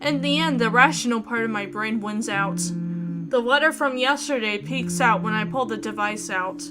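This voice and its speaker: A woman voicing an anxious, caring young woman narrating a visual novel in the first person with expressive introspection. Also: fairly high pitch, normal speed, lightly acted. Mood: reflective. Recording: guitar music behind. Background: plucked guitar music.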